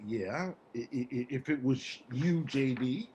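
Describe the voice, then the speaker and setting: An actor playing a rambling, wheedling homeless man with American street slang and a husky, stammering delivery, in a stage play reading. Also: medium pitch, measured pace, a little mellow, highs cut off, a little hiss or hum, natural voice. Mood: calming, reflective. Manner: stammering, repeats words, folksy street slang.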